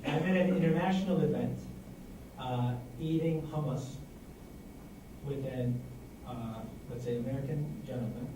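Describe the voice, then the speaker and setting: A man from Turkey with a Turkish accent, an animated panelist telling a story at a community forum who stammers when he searches for words. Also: medium pitch, measured pace. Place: library meeting room. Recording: echoey room, distant mic, noise.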